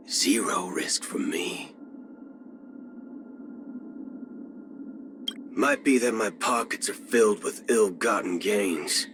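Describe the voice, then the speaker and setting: A swaggering cyborg outlaw from a video game, speaking in a lowish voice with a Southern American cowboy drawl. His pitch swings widely as he talks in a cocky, folksy way. Music plays clearly behind him. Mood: boastful. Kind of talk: video game character voice lines. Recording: music under the voice. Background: background music.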